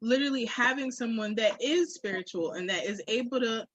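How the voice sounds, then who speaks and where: Husky, higher-pitched woman chatting candidly. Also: a little fast, dry recording, dull audio. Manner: emphatic, reflective, frequent swearing. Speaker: podcast guest or co-host. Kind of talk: candid casual conversation.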